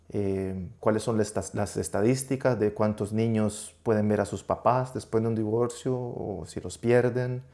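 A middle-aged man from Costa Rica speaks in a fairly low voice. He talks as a guest in a relaxed interview. He feels his way through his sentences with pauses, fillers and restarts. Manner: hesitant, many restarts and fillers. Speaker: guest, documentary filmmaker and media professor. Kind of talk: casual interview.

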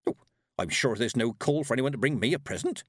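Man putting on a sharp, proud old country witch. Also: medium pitch, sing-song, normal speed, no room sound, studio quality, voice pushed up. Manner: curt, haughty, rustic turns of phrase.

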